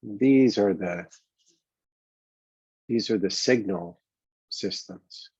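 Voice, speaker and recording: A man with a very low, husky voice guides a meditation in a gentle, improvised way. He takes his time, halting and leaving long pauses between phrases. The recording sounds dull and lo-fi. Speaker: meditation coach leading a guided exercise. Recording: dull, boxy, roomy lo-fi sound.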